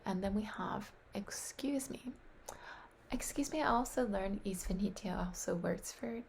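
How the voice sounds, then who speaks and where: Soft-whispering woman with a creaky voice. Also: medium pitch, slight background noise. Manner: soft whispering, repeats words aloud to practice; unsure, self-deprecating. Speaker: language learner practicing phrases. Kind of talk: whispery language practice.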